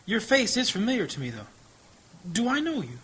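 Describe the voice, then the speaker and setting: A man's voice: sits high, wildly swooping pitch, brisk, a bit bright, exaggerated voice. An actor playing a weary, anxious old priest in a fantasy audio drama, humble and hesitant, with sighs in his voice. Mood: flustered, humble. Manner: hesitant, stammering when flustered. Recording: clear background ambience noise.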